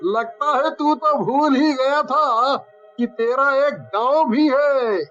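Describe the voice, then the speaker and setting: Man doing excitable, shrill young village buddy. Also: slow pace, dull audio, soft background music, full character voice. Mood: delighted. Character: excitable young village friend with drawling high voice.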